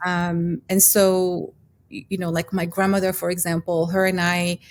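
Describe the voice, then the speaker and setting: A woman's voice: lowish voice, flat delivery, normal speed. A middle-aged woman with a Moroccan accent, chatting as a podcast guest in a hesitant, reflective way. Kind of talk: casual interview chat.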